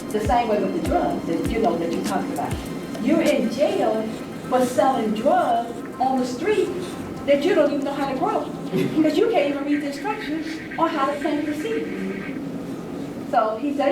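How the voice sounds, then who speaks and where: Emphatic woman in echoey room over music. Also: sits high. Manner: emphatic, rhetorical questioning, repeats phrases for stress. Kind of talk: informal group discussion. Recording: echoey room, distant mic, loud music.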